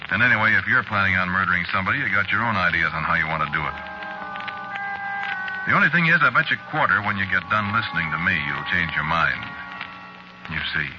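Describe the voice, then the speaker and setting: A man's voice: sits low, animated, quick pace, over music. An American actor playing a world-weary murderer confiding his tale conversationally in a 1940s radio drama monologue. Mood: grim, warning. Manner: conversational, colloquial, confiding, wry. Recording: noisy muffled 1940s radio recording.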